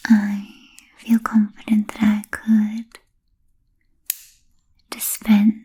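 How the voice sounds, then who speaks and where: An ancient, genteel vampire lady played by a woman whispers in a breathy, husky hush for an ASMR roleplay. She is fussy and politely absent-minded, drawing out formal phrases very slowly with hesitant gaps. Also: mid-range voice, monotone, heavily acted. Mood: gracious, musing. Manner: halting, hesitant, formal old-fashioned phrasing.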